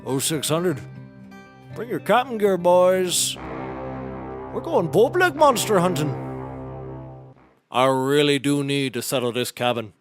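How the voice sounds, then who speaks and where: Man playing a high-voiced, folksy eccentric oddball. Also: sing-song, measured pace, long pauses, over music. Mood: folksy, rambling. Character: eccentric odd-jobbing man pitching a monster hunt. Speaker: actor in scripted comedy series. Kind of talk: comedic audio drama acting.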